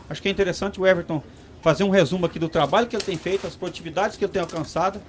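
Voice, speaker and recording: A man in his thirties, a company representative, speaking informally into a handheld mic under an outdoor tent, explaining and checking in with his listeners. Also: a little high. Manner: fast, explanatory, many tag questions. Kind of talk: informal talk to farmers.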